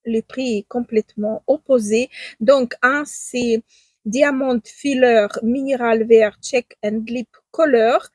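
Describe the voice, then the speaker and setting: A woman's voice: on the high side, steady tone, slow pace, darkish tone, no echo. A woman who is not a native speaker, reviewing makeup in a casual vlog, hesitating often with fillers and restarts and praising products with eager superlatives. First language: not French. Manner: enthusiastic superlatives. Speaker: beauty vlogger. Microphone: own microphone, at times off.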